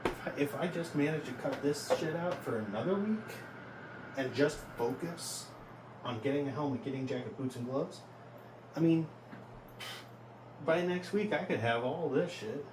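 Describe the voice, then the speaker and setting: A man in his early twenties talking casually in a self-reflective vlog, rambling and halting, often questioning himself as he goes. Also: on the high side. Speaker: vlogger. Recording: echoey, noisy, distant from mic.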